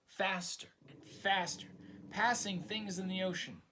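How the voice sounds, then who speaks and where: A man reading a children's story aloud with dramatic, expressive narration aimed at young listeners. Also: high-pitched, a little slow, a little hiss or hum. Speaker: storytime reader.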